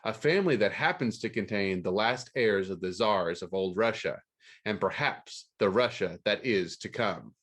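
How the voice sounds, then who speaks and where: A man's voice: fairly low pitch, expressive, normal speed, a bit bright. A man hosting a podcast about books. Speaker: podcast host. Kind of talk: scripted book news read aloud, then casual interview.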